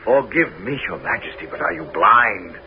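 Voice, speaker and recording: An actor playing a crafty Greek hero in a 1970s radio drama, speaking in a smooth, persuasive and scheming way. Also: male voice, fairly low pitch, lively intonation, moderate pace, slight background noise. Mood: flattering. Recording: dull radio broadcast with music.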